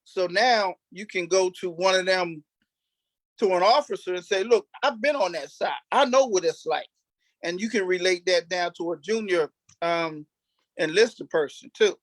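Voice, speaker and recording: A man's voice: sits high, moderate pace, no echo. A middle-aged man casually reminiscing in an interview, earnest and repeating himself for emphasis. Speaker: cast member being interviewed, military veteran.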